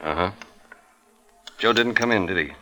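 An actor playing a laconic, wry police detective with clipped, understated delivery, in old radio drama style. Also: male voice, low-pitched, normal speed, pushed and bright. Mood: terse. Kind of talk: 1940s-50s radio drama acting.

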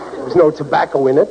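An actor playing a blustering, hapless, good-natured American working-class husband, stammering in old radio comedy style. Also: male voice, fairly high pitch, gravelly, exaggerated voice. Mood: sheepish bluster. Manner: stammering, flustered, folksy. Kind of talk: 1940s-50s radio comedy acting. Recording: muffled, noisy old radio recording.

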